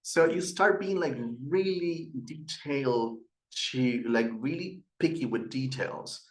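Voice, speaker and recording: A man's voice: a little high, slow pace. A middle-aged man from Peru with a Peruvian Spanish accent, hosting a webinar and telling personal stories earnestly, with fillers and restarts. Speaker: webinar host and institute director. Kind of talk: webinar presentation.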